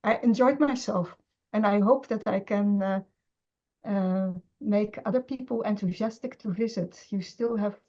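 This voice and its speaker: A middle-aged woman, a scholar of Semitic languages, speaking in an interview with many pauses, fillers and restarts. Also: medium pitch, mellow. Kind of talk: conversational interview. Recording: dull lo-fi sound.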